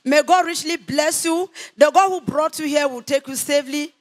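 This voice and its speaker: A woman's voice: sits high, moderate pace. An older woman giving a fervent testimony in a preaching cadence with biblical phrasing, into a handheld microphone in a large church hall.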